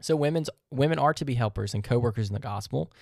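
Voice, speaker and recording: A man's voice: medium pitch, quick pace, gravelly, darkish tone, no room sound, clean studio sound. A man hosting a podcast, giving an earnest, methodical scripture teaching monologue with rhetorical questions and verses read aloud. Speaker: podcast host.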